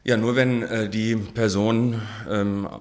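A man's voice: lowish voice, flat delivery, slow, darkish tone. A man in his forties, a sociologist giving a radio interview, thinking aloud with frequent ums and restarts. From Germany. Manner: hesitant, many ums, restarts. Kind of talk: radio interview, thoughtful answers.

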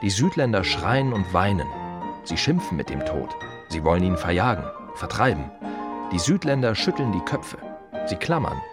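An actor playing a plain-spoken, reflective gravedigger in a radio play monologue, talking to the listener in short, repetitive, wry phrases. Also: male voice, low voice, sing-song, moderate pace, over loud music.

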